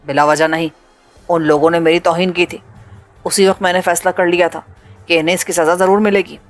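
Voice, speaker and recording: A woman voicing a cold, ruthless male pirate leader who talks in a calm, mocking, menacing way. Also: lowish voice, faint music behind, voice pushed down, close to an everyday voice.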